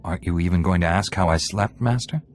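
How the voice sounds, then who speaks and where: Man playing a low-voiced, defiant Jedi warrior. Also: fast, slight background noise, natural voice. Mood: cocky, menacing. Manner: blunt, assertive. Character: defiant, hot-tempered Jedi warrior bent on vengeance.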